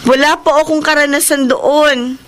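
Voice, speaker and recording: A woman's voice: high voice, steady tone, normal speed, noisy background, close to an everyday voice. A woman acting a remorseful young niece making a formal, emotional public confession in a Filipino radio drama. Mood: resolute, rousing.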